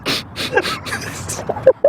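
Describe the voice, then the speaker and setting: A man's voice: extremely slow, sharp tone, slight background noise. A man, an actor, talking hesitantly and self-deprecatingly in a casual interview, with many fillers and restarts.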